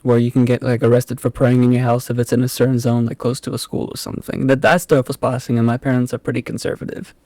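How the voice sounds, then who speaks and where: Young man, from england, afrikaans first language, warm mellow tone, dry close sound, hesitant. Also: medium pitch, monotone, quick pace, few pauses, dry recording. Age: young adult. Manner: rambling, hesitant, many fillers. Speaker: guest. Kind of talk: casual podcast interview.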